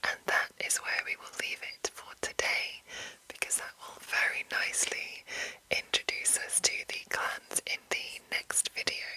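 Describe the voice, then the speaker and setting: A woman whispering an informal, rambling ASMR explainer as the host, in a breathy, unvoiced voice. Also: pushed and bright, rough recording. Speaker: host of ASMR video series on roleplaying games.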